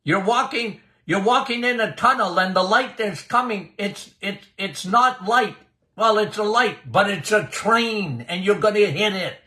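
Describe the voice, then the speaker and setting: An older man, a doctor hosting a health podcast, speaking loudly and bluntly in a casual, emphatic monologue. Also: high voice, unhurried. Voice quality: loud voice.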